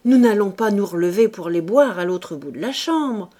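A woman doing Olympe, a shrill gloating woman. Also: sing-song, measured pace. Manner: shrill, loud and giggly. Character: brash, greedy woman, tipsy and gloating.

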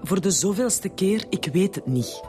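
A woman's voice: mid-range voice, lively intonation, a little slow, warm tone, soft background music, natural voice. A woman playing a defensive, indignant wife with a Flemish accent in a radio drama. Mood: defensive, exasperated. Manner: indignant, dismissive. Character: defensive, exasperated married woman questioned by police. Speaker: actor in a radio detective serial.

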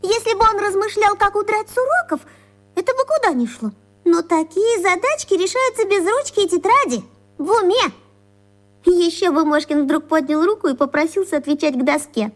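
A woman's voice: extremely high pitch, lively intonation, moderate pace. A woman playing a smug, pushy schoolgirl in a children's radio play, with girlish tones and a theatrical, taunting delivery. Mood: mocking.

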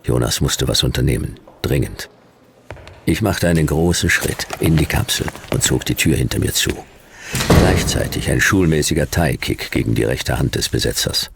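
A man playing a world-weary, sardonic private detective in a radio play, telling his story in terse, deadpan, clipped phrases. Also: extremely low pitch, moderate pace, vocal fry, background noise. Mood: deadpan, tense. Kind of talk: hard-boiled radio play narration and dialogue.

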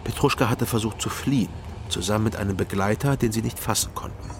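An actor playing a wry, guarded male private detective who tells his own story in a crime radio drama, measured and dry. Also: on the low side, background noise, close to an everyday voice. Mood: measured narrating. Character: Frank Doberschütz, East Berlin private detective, wry, guarded man. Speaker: narrator and lead detective. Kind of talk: first-person crime radio drama narration.

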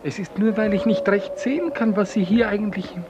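Timid, hesitant gentleman played by a man. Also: high-pitched, a little fast, natural voice. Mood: hesitant, curious. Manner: hesitant, stammering, apologetic. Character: timid, apologetic, curious clerk, a polite gentleman. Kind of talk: 1950s German radio play acting. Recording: muffled, very noisy old radio recording.

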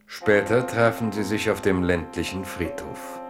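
A man narrating a historical radio drama in a measured storytelling manner. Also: lowish voice, music in the background. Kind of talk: scripted literary narration.

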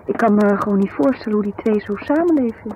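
An actress playing a needling, suspicious wife in a naturalistic radio drama, with a teasing, probing conversational manner. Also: female voice, medium pitch, fast, natural voice. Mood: teasing, critical. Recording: dull, noisy 1970s radio recording.

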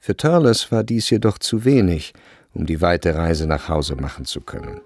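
A man narrating a literary radio drama from a script, measured and precise in his storytelling. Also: deep voice, very animated, a little mellow, no echo, clean studio sound.

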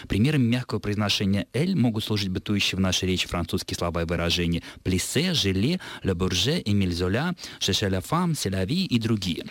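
A low-pitched man speaks in a radio studio, answering listener questions about word usage. He explains in a measured, thoughtful way, pausing with small hesitations as he works through his points. The sound is dry and close, with no room echo. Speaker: language expert answering listener letters. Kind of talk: radio talk on Russian usage.

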